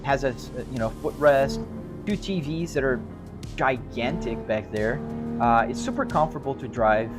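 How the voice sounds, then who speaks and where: A man's voice: medium pitch, normal speed. A man from Brazil with a Brazilian accent, chatting casually on a live stream and answering viewers in an easygoing, rambling way. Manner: easygoing, rambling, restarts and hedges. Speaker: sim racing live streamer. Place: home sim racing rig. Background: music playing.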